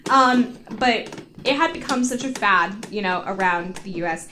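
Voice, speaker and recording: A woman's voice: medium pitch, animated, normal speed, sharp tone, a little hiss or hum. A woman chatting casually as a podcast co-host, telling a story in a giggly, sweary way full of "like". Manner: giggly, sweary, heavy use of "like". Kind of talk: casual comedic chat, storytelling.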